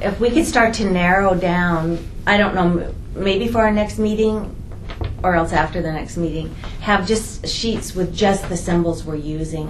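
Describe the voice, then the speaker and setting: A woman's voice: lowish voice, echoey room, a little hiss or hum, poor audio. A woman in a committee meeting, talking casually and hesitantly, often trailing off. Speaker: committee member. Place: meeting room.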